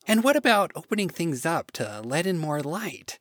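A defiant, sardonic woman from a comic storybook, as a man puts her on. She complains casually and scornfully, with a raised pitch and animated swoops. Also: normal speed, no echo, clean studio sound. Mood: picky. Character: defiant, critical, sardonic first woman, Lilith. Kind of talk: short story read aloud.

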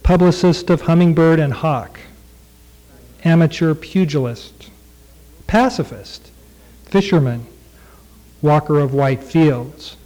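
Guy reading his own tribute piece, soft warm voice, kinda halting, slow when he reads. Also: a little high, rough recording. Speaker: former student giving a tribute. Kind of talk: reading a prose tribute aloud.

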